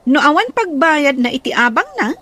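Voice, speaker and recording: A woman's voice: sits high, sing-song, moderate pace, bright, forceful tone, slight background noise, heavily acted. A young woman with an Ilocano Filipino accent acting a sassy, defiant student and daughter in a radio comedy-drama. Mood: haughty.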